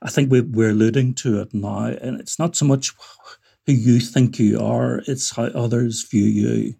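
A Northern Irish man, a guest on a discussion podcast, speaking in a measured, reflective way. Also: medium pitch, fairly even intonation, gravelly, dark tone, husky. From Northern Ireland. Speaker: guest social work academic.

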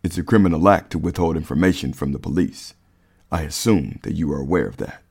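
A man plays a skeptical federal agent in his thirties for a crime audio drama. The voice is deep and rough-edged, the delivery formal and probing. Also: brisk, a little mellow, exaggerated voice. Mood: firm, skeptical.

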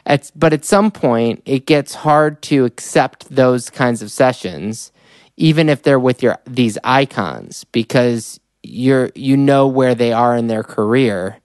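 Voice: mid-range voice, takes it slowly, a little mellow, no room sound, studio quality. A podcast host asking casual interview questions, thinking aloud and circling through fillers before landing the question.

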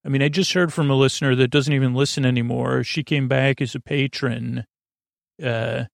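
A man hosting a bedtime podcast in a meandering, hesitant monologue, often interrupting himself, with a gravelly, creaky voice. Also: mid-range voice, steady tone, normal speed, no echo, clean studio sound. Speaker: podcast host.

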